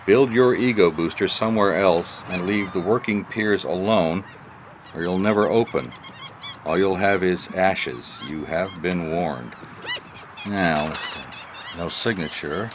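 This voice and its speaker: A man's voice: low-pitched, unhurried. A man acting a wry, shrewd private detective in a noir radio mystery, probing in a dry, colloquial way. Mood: dry, curious. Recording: faint noise, live theatre stage.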